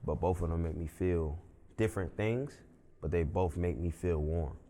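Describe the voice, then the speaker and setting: Deep-voiced laid-back young man. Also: moderate pace, warm tone. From Brooklyn, New York. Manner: laid-back, filler-heavy, often adds "you know what I'm saying". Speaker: interview guest, musician. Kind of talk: casual conversation.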